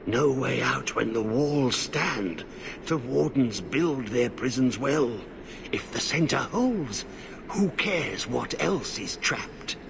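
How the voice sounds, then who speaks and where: An actor playing a half-mad, blighted old warden in a video game, muttering in halting, repetitive fragments. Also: male voice, mid-range voice, animated, vocal fry, noisy background. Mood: muttering, agitated. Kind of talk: dramatic video game acting.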